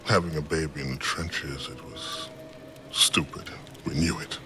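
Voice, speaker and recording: An actor playing a weary, grieving father and war survivor in a video game cutscene, with a low, gruff delivery. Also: fairly even intonation, a little slow, noisy background. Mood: somber, wistful.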